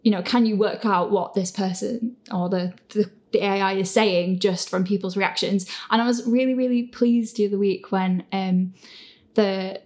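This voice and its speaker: Woman hosting a relaxed q&a, giggly and self-deprecating, chatting about making her show, medium pitch. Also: normal speed. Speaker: host and audio fiction creator. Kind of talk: casual Q&A chat.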